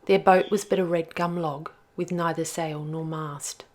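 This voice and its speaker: A woman's voice: low voice, steady tone, measured pace, a little mellow. A woman storyteller reading a rhyming children's picture book aloud with expression.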